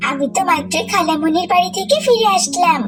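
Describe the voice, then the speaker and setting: A woman's voice: very high voice, fairly quick. A woman voicing a sly, eager young schoolgirl in a children's moral cartoon, with music behind her. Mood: defensive, fibbing.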